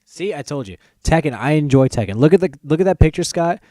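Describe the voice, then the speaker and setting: A man's voice: on the high side, animated, fast talker, mellow, no echo. A man hosting a podcast, bantering casually about films in a teasing, opinionated way. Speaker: podcast host.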